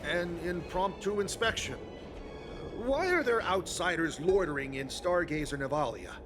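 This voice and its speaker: A rather high male voice for a snide fantasy game guard. He is officious, with animated pitch and music behind him. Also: normal speed. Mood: suspicious.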